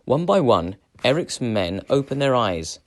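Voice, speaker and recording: A man reading a children's adventure tale aloud as a storyteller, with dramatic, measured phrasing. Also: mid-range voice, big pitch swings. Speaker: storyteller, teacher.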